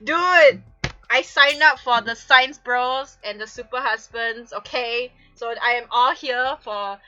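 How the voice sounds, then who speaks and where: A woman's voice: on the high side, takes it slowly, pushed and bright, no room sound, dull audio. A woman giving a giggly, theatrical reading of fan fiction on a live stream, breaking off for casual asides.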